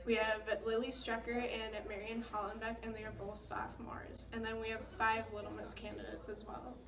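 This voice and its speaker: Teen girl talking events, kinda flat, echoey and far off with people around. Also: a little high, normal speed, a crowd in the background. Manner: chatty, listing events with fillers. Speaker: student ambassador interviewee. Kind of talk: casual interview.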